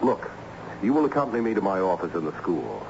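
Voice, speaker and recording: An actor playing a harried, ironic assistant principal in an old-time radio drama, theatrical and patiently explanatory. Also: male voice, sits low, big pitch swings, quick pace, vocal fry, full character voice. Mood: firm, urgent. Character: harried, excitable male school assistant principal with ironic humour. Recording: dull, noisy old radio recording.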